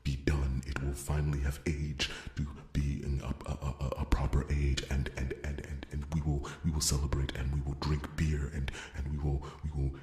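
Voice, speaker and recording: Very deep, halting fantasy blacksmith, played by a man. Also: monotone, a little mellow. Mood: hopeful. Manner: halting stammer, repeating words earnestly. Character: earnest devoted male fantasy blacksmith warrior and brewer. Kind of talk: ASMR fantasy roleplay monologue. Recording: roomy, echoing sound.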